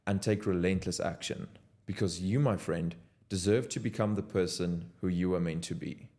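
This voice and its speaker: A man's voice: lowish voice, a little mellow. A young man, talking unscripted to camera from his home desk in an earnest, motivational way. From South Africa. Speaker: content creator. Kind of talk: unscripted talking-head vlog.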